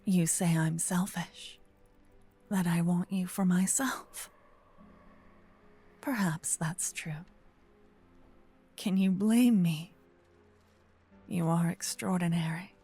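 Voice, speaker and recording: A woman voicing a gentle, possessive old female vampire in an ASMR roleplay, murmuring and whispering close to the microphone. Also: on the low side, faint music behind, exaggerated voice. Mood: protective, insistent. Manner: breathy, slow whispering and murmuring. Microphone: close binaural microphone.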